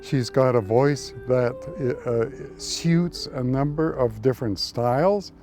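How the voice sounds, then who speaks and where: A man in an interview, speaking in a measured, appreciative way about a singer's voice. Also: medium pitch, a little mellow, soft background music. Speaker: supporter of the singer.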